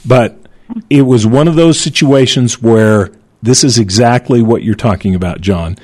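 A man's voice: mid-range voice, moderate pace, darkish tone. A man hosting a panel discussion, telling an anecdote in a conversational way. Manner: conversational storytelling. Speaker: host.

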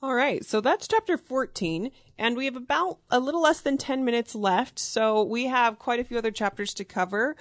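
A woman leading a casual group study discussion, steering the talk in an organized way and speaking in quick bursts. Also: a little high, no echo, clean studio sound. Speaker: discussion leader.

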